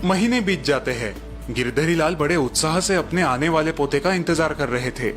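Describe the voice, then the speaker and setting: A man tells a bedtime story in a smooth, even, scripted manner. His voice sits a bit high for a man. Faint music runs underneath. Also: normal speed. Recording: music bed behind narration.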